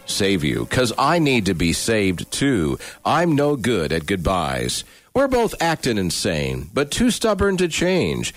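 Slow, low-voiced man reciting dramatically. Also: no echo, studio quality. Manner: deliberate, spoken poetry recital. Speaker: radio news director. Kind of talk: deadpan dramatic reading of pop lyrics. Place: radio studio.

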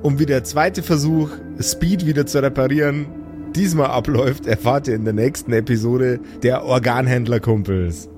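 Man, game master, average pitch, improvised narration, joking casual delivery, light background music. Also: fairly even intonation, normal speed. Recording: music under the voice.

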